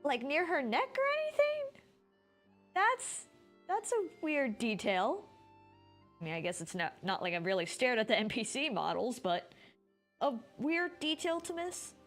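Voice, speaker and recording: A woman's voice: sits high, huge pitch swings, a little slow, fairly bright tone. A woman streamer giving casual, rambling, informal live commentary on a game. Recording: music behind the voice.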